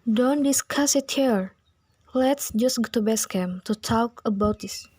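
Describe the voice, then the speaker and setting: Woman as a husky plotting schoolgirl bully. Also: on the high side, a little slow, warm tone. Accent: Indonesian. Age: young adult. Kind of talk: amateur student radio drama.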